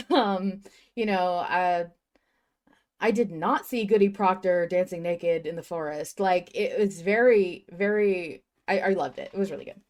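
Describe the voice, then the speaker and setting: A woman with a slightly low voice co-hosts a casual fan discussion show. She speaks emphatically and informally, peppering her opinions with slang and profanity. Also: measured pace, a little mellow. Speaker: podcast host.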